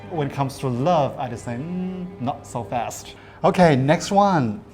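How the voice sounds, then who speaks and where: A woman's voice: a bass voice, lively intonation, measured pace, a little mellow, soft background music. A woman who teaches Chinese, explaining words patiently in casual chat with many fillers.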